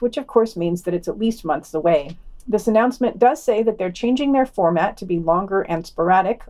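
A woman's voice: medium pitch, darkish tone. A woman co-hosting a podcast, reading a scripted news round-up and dropping in wry, sardonic asides. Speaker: podcast co-host.

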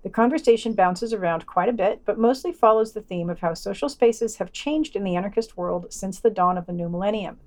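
A woman with a medium-pitched voice presents on a podcast. She reads prepared reviews and editorial comment aloud. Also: fairly quick, hardly any pauses, a little mellow. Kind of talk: scripted review and editorial reading.

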